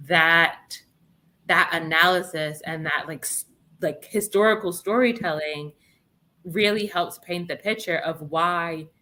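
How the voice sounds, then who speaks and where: A young woman from Brooklyn speaks with a low, deep-set voice that still rings bright and forceful. She talks as an interview guest, earnest and impassioned, her thoughts running on in long chains. Her tone is clear and pointed. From Brownsville, Brooklyn, New York. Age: young adult. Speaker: guest, policing reform advocate. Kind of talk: interview conversation.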